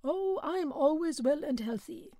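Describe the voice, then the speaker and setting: A man's voice: very high voice, lively intonation, moderate pace, warm tone, no room sound, studio quality, voice pushed up. A woman reading a large, genial and talkative country priest. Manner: friendly, talkative.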